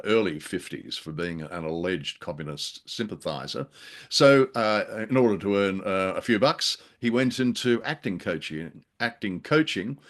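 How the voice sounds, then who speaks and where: Low-pitched man chatting casually. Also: a bit bright. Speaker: podcast co-host. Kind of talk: casual chat about old radio drama.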